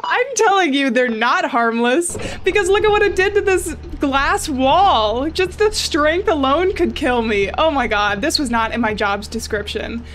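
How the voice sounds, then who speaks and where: A woman's voice: sits high, lively intonation, measured pace, hardly any pauses, fairly bright tone. Jittery young woman playing a horror game. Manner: jittery, chatty, self-mocking. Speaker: gaming YouTuber. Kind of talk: horror game let's play commentary. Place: small room at home, indoors. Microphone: studio mic with pop filter, headphones.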